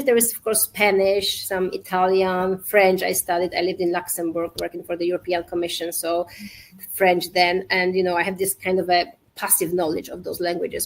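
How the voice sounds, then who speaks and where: A woman in her forties from Poland, with a slightly low voice. She is a guest in a casual interview and picks her words with care in a measured, searching way. She speaks over a video call. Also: poor audio. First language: Polish.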